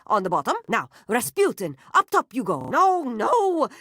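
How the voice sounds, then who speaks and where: A theatrical circus mama. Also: female voice, on the high side, a bit bright, no room sound, clean studio sound. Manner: theatrical, grandiose, scolding. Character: melodramatic middle-aged female circus matriarch, proud and guilt-tripping. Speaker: video game character. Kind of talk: video game voice acting.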